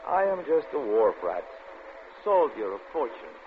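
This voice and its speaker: An actor playing a wry, guarded soldier of fortune in a 1940s radio drama. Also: male voice, mid-range voice, big pitch swings, unhurried. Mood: wary, teasing. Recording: tinny, noisy old radio recording.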